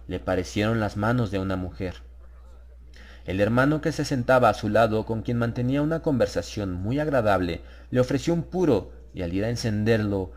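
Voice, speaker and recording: A man narrating a horror audiobook from a script, in a measured, literary storytelling manner. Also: fairly low pitch, darkish tone.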